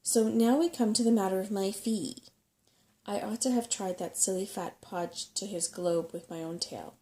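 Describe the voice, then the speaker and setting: A woman voicing a crabby, sharp-tongued female brownie from a storybook, complaining and scornful. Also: mid-range voice, moderate pace, slight background noise, natural voice. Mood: grumpy, scornful. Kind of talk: storybook character voice in a soft-spoken reading.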